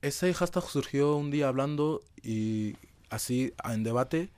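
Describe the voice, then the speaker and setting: A man's voice: medium pitch, measured pace, darkish tone, clear, resonant tone, no room sound. A young man, giving conversational answers as an interview guest. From Madrid, Spain. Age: young adult.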